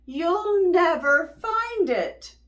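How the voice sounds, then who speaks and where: A woman voicing a caring old grandmother in a children's storybook, giving firm warnings in emphatic, drawn-out words. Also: very high-pitched, lively intonation, a bit bright, lo-fi sound, pitched above the performer's own voice, heavily acted.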